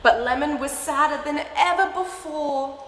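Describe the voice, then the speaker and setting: High-voiced woman reading a children's story, echoey. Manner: animated, exaggerated reactions with gasps and drawn-out words. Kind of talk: expressive picture-book read-aloud with asides to young children. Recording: roomy echoing hall sound, background music. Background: music.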